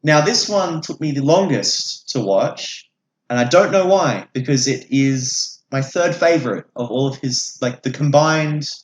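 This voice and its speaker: A young man co-hosts a film podcast in casual, joking banter. He swears freely, and his mid-pitched voice rings around an echoey room. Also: lively intonation, a little slow, a little hiss or hum. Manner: sweary and jokey. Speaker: podcast co-host.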